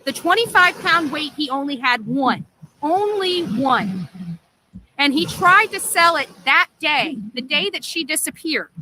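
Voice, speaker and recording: A woman's voice: high voice, big pitch swings, unhurried, sharp tone, slight background noise, poor audio. A woman in a casual livestream, rambling through explanations and often checking that listeners follow. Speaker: livestream amateur sleuth.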